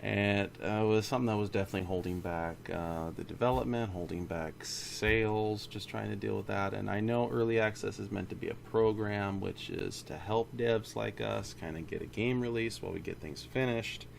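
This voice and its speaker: A man's voice: fairly low pitch, moderate pace, gravelly, hardly any pauses, a little hiss or hum. A man giving a casual, rambling studio update as a game developer, often correcting himself mid-sentence. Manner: informal, rambling, self-correcting. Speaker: indie game studio founder. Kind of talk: casual monthly studio update to camera or mic.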